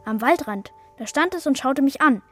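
A stubborn young boy in a children's radio play, voiced by a woman. The voice is high and excitable, always insisting, with a faint bed of music. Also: normal speed. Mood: insistent.

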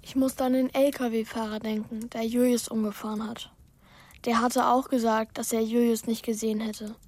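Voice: medium pitch, flat delivery, normal speed, a little mellow, close to an everyday voice. A performer playing a sensitive, hot-tempered boy who narrates and acts in a children's radio play with youthful earnestness. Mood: brooding.

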